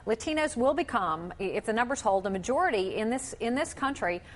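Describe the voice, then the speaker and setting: A woman anchors a TV panel and moves it along. She asks her questions briskly. Her voice is a little high. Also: expressive. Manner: brisk and prompting. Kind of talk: moderating a TV panel.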